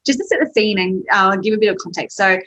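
A woman's voice: medium pitch, expressive, fast talker, fairly bright tone. A young Australian woman with an Australian accent, telling her story as a podcast guest in a chatty way, laughing often. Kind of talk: podcast interview.

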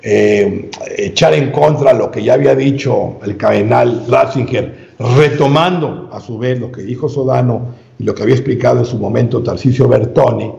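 Gravelly man explaining in detail. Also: mid-range voice, mellow, reverberant, lo-fi sound. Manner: measured, detailed, with frequent restarts and "eh" fillers. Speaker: guest expert. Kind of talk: explanatory interview talk.

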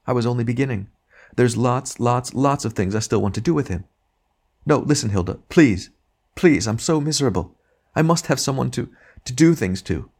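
A man's voice: mid-range voice, animated, normal speed, darkish tone, breathy edge, pitched above the performer's own voice. A man voicing the ghost of a domineering wife, complaining and self-righteous in an audiobook reading. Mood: pleading, demanding.

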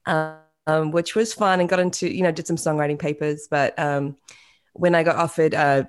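Low-pitched New Zealand woman in her thirties, casual video-call guest, chatty with lots of fillers. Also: steady tone, moderate pace. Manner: chatty, self-deprecating, many fillers and restarts. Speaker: guest musician.

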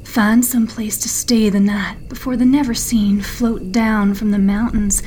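Woman playing a slow, folksy village gossip. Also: mid-range voice, little pitch movement, a little hiss or hum, lightly acted. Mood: hushed warning. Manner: folksy, conspiratorial, dropping into hushed near-whisper. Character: gossipy village local woman, welcoming but darkly warning newcomers. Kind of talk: in-character fiction podcast storytelling.

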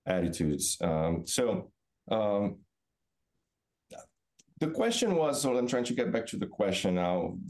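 A middle-aged man from Brazil with a Brazilian accent, a native Portuguese speaker, giving thoughtful, halting explanations as an interview guest. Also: on the low side, sing-song, a little mellow. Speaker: podcast guest, business professor. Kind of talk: reflective interview answers.

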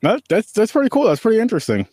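A man interviewing a guest in a casual, enthusiastic way, with quick, stumbling reactions. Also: sits high, huge pitch swings, a little mellow, no echo, clean studio sound.

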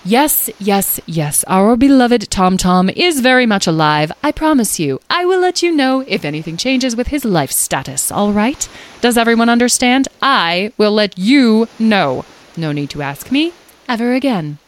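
A woman's voice: lowish voice, animated, normal speed, natural voice. A bossy magical babysitter at the end of her patience, performed by a woman for a children's audio story. She spells things out with frosty, sarcastic emphasis. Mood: exasperated.

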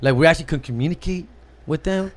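Man, podcast guest, quick lively slangy talk, somewhat high voice, animated storyteller. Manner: slangy, animated storyteller, says bro and dog. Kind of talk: casual podcast chat.

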